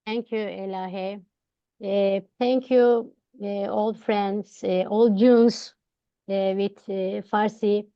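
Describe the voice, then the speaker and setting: A middle-aged woman from Turkey, speaking non-native English as a panelist on an online call, with many pauses and fillers. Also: medium pitch, fairly even intonation, clear, resonant tone. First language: Turkish. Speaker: panelist, women's rights advocate. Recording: dull lo-fi call audio.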